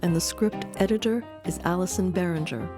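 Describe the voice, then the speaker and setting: A woman narrating a radio-history documentary in a measured, expressive scripted read. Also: fairly low pitch. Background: music.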